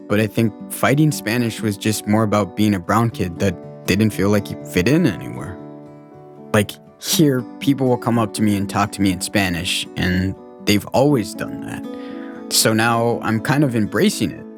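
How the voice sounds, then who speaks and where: A man's voice: lowish voice, animated, moderate pace, music in the background. A young American man talking candidly and informally about himself in a reflective personal conversation. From United States, born in Guatemala. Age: young adult. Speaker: podcast co-host telling his own life story.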